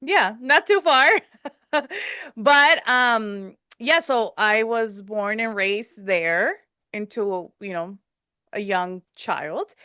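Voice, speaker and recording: Bright, chatty Mexican-born woman host. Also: medium pitch, drawn-out words, clear, resonant tone, dry recording. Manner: chatty, mixes Spanish words into English. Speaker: podcast host. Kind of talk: casual chat.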